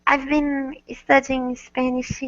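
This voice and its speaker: A woman's voice: high-pitched, level tone, slow, no echo, lo-fi sound. A woman whose first language is Portuguese, speaking as a student in an online English class in a hesitant, modest way. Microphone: computer mic on a video call.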